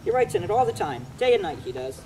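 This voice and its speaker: Woman doing a folksy gossipy workman guy, lively bouncy talk, fast, noisy recording. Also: medium pitch, close to an everyday voice. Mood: chatty. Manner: chatty, folksy, teasing. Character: friendly, gossipy male workman, family man. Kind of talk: amateur dramatic reading.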